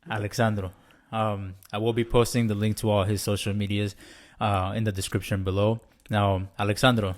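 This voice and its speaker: A man hosting a podcast, who welcomes listeners politely and asks his guest measured, structured questions. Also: fairly low pitch, level tone. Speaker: podcast host.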